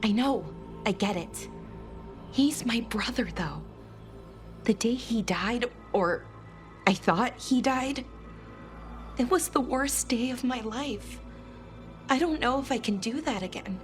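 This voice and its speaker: An actress playing a tender, anxious elven woman in a game cutscene, earnest and halting as she speaks about a brother. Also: on the high side. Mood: sad, resolute. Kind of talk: dramatic video game cutscene acting. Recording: loud background music.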